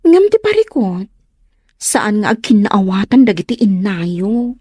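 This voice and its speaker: A woman's voice: medium pitch, big pitch swings, unhurried, mellow, husky, no room sound, clean studio sound. A woman acting a chatty, teasing young friend and confidante in an Ilocano comedy drama. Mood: teasing, friendly.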